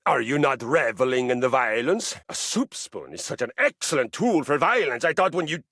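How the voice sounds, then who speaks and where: An actor voicing a boastful, violence-loving warrior treadmill in a video game, with pompous, grandiose and formal delivery. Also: male voice, mid-range voice, expressive, normal speed, gravelly, a bit bright, no room sound, studio quality. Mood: proud, menacing. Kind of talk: theatrical video game character acting.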